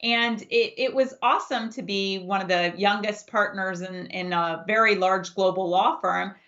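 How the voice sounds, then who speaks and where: Bright-voiced woman, native Farsi speaker. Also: mid-range voice, moderate pace. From United States. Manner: steady, emphatic storytelling. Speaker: guest, political candidate. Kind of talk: interview answers. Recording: dull lo-fi audio.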